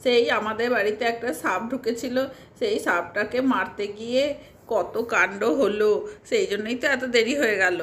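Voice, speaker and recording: A woman's voice: medium pitch, normal speed, a bit bright, husky. A woman voicing an impatient young playmate who chatters and urges friends on in a doll-play story. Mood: chatty, urging. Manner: chattering, urging, repetitive. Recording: faint background noise.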